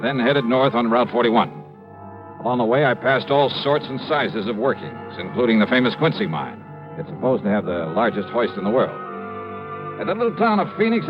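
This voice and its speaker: A laconic, wisecracking insurance investigator, as an actor plays him in a 1950s radio drama, his mid-pitched voice rising and falling with lively inflection. The old broadcast sounds dull and muffled, with music underneath. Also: natural voice. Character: wry male freelance insurance investigator. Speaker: radio detective lead and narrator.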